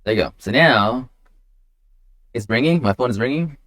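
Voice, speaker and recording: A man talking casually on a live stream as a developer, in a halting way, often repeating and restarting his phrases. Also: mid-range voice, animated, fairly bright tone, no room sound, studio quality. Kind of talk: casual live stream talk about a coding project.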